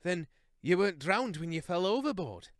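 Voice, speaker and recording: A man voicing a grieving young sailor mouse who shouts high and strained. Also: big pitch swings, moderate pace, no echo, clean studio sound. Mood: tearful.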